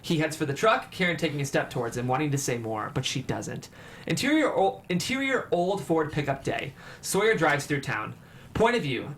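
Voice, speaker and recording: A man's voice: on the high side, fairly bright tone. A man reading scripted stage directions as the narrator of a table read. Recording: clear background noise.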